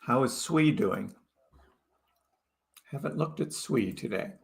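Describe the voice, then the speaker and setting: A man's voice: medium pitch, lively intonation, slow, vocal fry. A man from Chicago hosting a crypto livestream, chatting casually and greeting viewers by name. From Chicago, United States. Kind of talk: casual live chat reading viewer comments.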